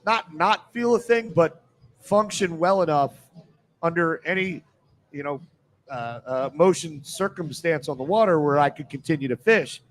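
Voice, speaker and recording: Middle-aged male sports radio panelist, slightly high voice, conversational. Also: animated, normal speed. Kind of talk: casual sports talk. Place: live radio show at a casino.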